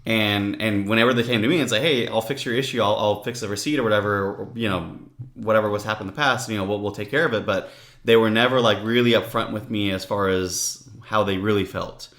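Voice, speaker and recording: A man's voice: lowish voice, fairly bright tone. A man who is a guest on a podcast, telling his own story in a casual conversation and often restarting his sentences. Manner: halting, with many restarts and "if you will".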